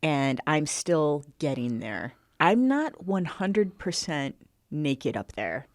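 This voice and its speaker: A woman comedian guesting on a podcast, with a low, nasal voice, frank and self-deprecating in quick banter. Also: sing-song, no room sound, clean studio sound. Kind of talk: casual chat.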